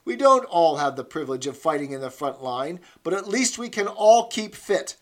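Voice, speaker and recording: A man's voice: very animated, slow pace, bright, forceful tone, exaggerated voice. A man voicing a shrewish, bossy woman fitness instructress in her late thirties who barks commands. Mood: bossy, exhorting. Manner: shrill, barking commands.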